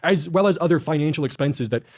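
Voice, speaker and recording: A man's voice: a little high, darkish tone. Earnest young man reading a statement. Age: 20s. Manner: measured, earnest, read from a script. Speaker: video creator reading a statement. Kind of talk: scripted personal statement.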